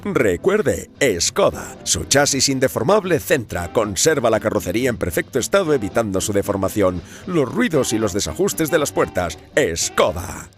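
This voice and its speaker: A man's voice: medium pitch, sing-song, normal speed, faint music behind, natural voice. A man playing a 1930s radio announcer reading a car advertisement. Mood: emphatic selling.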